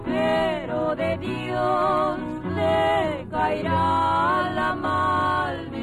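Lady singing old folk songs, super high pitched, really slow. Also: level tone, over loud music.

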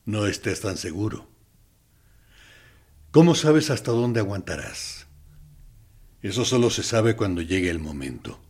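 An actor playing a harsh male military captain interrogating a prisoner in a radio drama, with a gruff manner. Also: lowish voice, animated, a little slow, long pauses, darkish tone. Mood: coaxing. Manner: gruff, swinging between coaxing and angry outbursts.

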